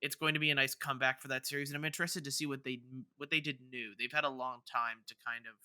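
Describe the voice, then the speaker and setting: Male podcast host, casual and rambling with stammered restarts, very forward bright tone, clean articulation. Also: mid-range voice, steady tone, quick pace, clear, resonant tone, no echo, clean studio sound. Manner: rambling, stammering with repeated false starts. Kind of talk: casual gaming news discussion.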